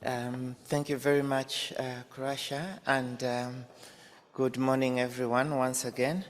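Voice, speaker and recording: A man's voice: medium pitch, flat delivery, measured pace. A man from Zambia presenting as an academic, hesitant with many fillers and repeated words. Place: conference hall. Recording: reverberant conference hall, off-mic at times.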